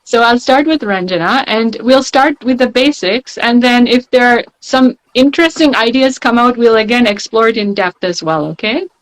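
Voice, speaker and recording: A woman's voice: fairly high pitch, normal speed, hardly any pauses, poor audio. A woman hosting a panel discussion, encouraging her guests and talking with many fillers. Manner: encouraging, filler-heavy, warm praise of guests. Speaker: panel host. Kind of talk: moderating an online panel.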